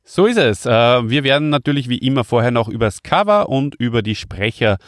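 A man's voice: medium pitch, animated, fairly quick, no echo. Man hosting, in a dry close recording. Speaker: podcast host. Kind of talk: casual conversational presenting.

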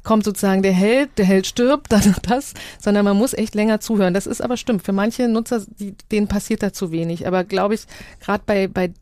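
A woman's voice: mid-range voice, a little fast, dark tone, no room sound. A woman from East Germany speaking reflectively as a radio journalist in a casual panel discussion, often reworking her sentences midway.

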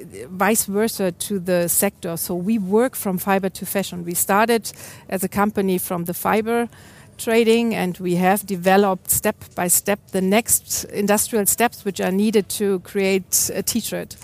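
A woman answering audience questions as a company representative, explaining in an unscripted, halting way. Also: mid-range voice, darkish tone.

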